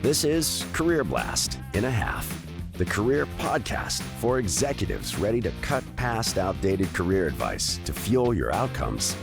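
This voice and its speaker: A man delivers a punchy scripted podcast intro with emphatic energy. His voice sits very low and gravelly. Clear guitar music plays beneath him, and his pitch rises and falls in a lively way. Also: unhurried. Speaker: podcast announcer. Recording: voice-over with guitar music behind.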